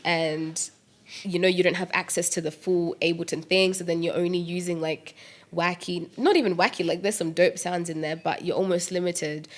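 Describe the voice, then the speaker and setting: A young woman talking about her music in a relaxed interview, her voice sitting a little low. She gets animated and laughs often, with plenty of "like" and "um" between thoughts. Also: normal speed. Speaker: musician and producer guest. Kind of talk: casual interview chat.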